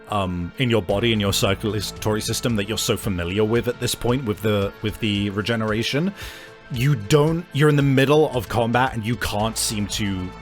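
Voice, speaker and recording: Man, game master, average pitch, improvised halting narration, tabletop roleplay, faint music bed.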